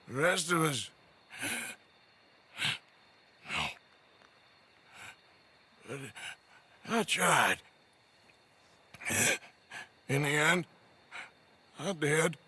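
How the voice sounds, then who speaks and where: An actor playing a sick, weary but defiant American outlaw in a video game, gruff and short of breath. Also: male voice, on the high side, sharp tone, full character voice. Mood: spent, pleading. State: ailing, out of breath, coughing. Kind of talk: dramatic video game cutscene acting.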